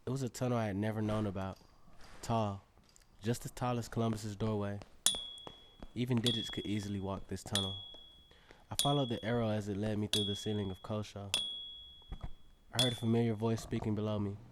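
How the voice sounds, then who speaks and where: A man's voice: fairly low pitch, fairly even intonation, fairly quick, very noisy, lightly acted. A man playing a curious, adventurous young storyteller, narrating a scripted audio drama in the first person. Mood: focused, suspenseful.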